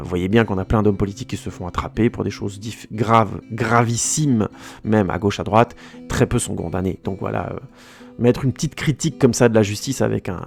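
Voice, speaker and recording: A man with a low, gravelly voice talks through a film review in a loose, chatty way. He trips over his words and restarts his sentences often. Soft guitar music plays faintly underneath. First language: French. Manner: rambling, many restarts and hesitations, informal. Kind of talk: casual spoken film review.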